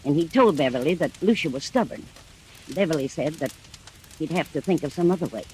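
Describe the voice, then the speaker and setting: An anxious woman caregiver in an old radio mystery. She testifies earnestly and deliberately, ready to accuse, over a faint layer of background noise. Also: sits low, expressive, mellow, low-quality recording. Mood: grave. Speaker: witness in a mystery drama.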